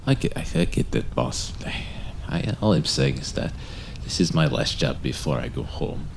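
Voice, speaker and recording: A man playing a weary, blunt gravedigger in an audio drama, grumbling and halting as he talks. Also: rumbling low, expressive, vocal fry, a little hiss or hum, exaggerated voice. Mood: grumbling, impatient.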